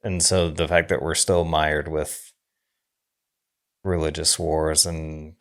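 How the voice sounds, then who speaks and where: A man co-hosting a podcast in casual discussion, wordy and reflective, often halting as he works out his thought. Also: rumbling low, level tone. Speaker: podcast co-host.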